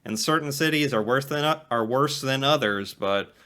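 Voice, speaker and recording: American man musing, bright tone. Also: medium pitch, normal speed. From United States. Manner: musing, conversational, laughs a little. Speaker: co-host reacting to a video. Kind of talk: casual reaction commentary.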